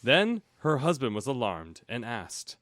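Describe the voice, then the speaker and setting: Male comedy narrator, animated and bright, storybook reading with joking asides, very dry close sound. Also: medium pitch, a little slow, no echo. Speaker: host and narrator of parody audiobook show.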